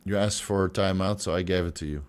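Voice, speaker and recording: Brief: young male host, lowish voice going hoarse and stuffy, loose and jokey, swears a lot. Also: fast. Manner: loose, joking, swears freely. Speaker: host answering viewer questions. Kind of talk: casual livestream Q&A.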